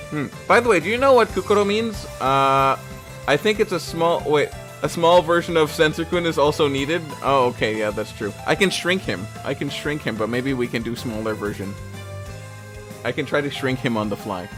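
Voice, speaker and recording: A man's voice: mid-range voice, moderate pace. A man live-streaming a game, chatting playfully with his viewers and joking around. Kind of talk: casual live-stream chat. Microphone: desk microphone. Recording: music playing behind.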